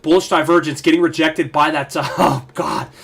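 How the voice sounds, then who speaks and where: Blunt, slightly hoarse man analyzing charts. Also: a little high, fairly even intonation, moderate pace, fairly bright tone. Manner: blunt, emphatic, repeats key phrases, frequent uh fillers. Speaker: market analysis channel host. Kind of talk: casual chart analysis talk.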